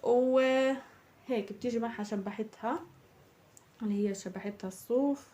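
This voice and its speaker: A young woman with a Palestinian Arabic accent, chatting casually through a shopping haul, her voice slightly hoarse from a cold. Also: on the high side, normal speed, warm tone. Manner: chatty, addresses viewers as girls. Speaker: vlogger.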